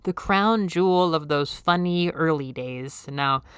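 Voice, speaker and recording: Soft airy young woman, excitable. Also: measured pace, smooth, no echo. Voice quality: soft, airy, slightly nasal voice. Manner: enthusiastic, excitable, jumps up in pitch when quoting; rambling with fillers and repeated words. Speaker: YouTuber. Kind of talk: casual video essay.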